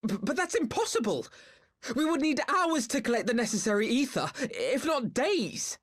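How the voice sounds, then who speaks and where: A woman playing an earnest, learned noble youth in a video game cutscene, articulate and excitable. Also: high voice, moderate pace, slightly rough, heavily acted. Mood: incredulous.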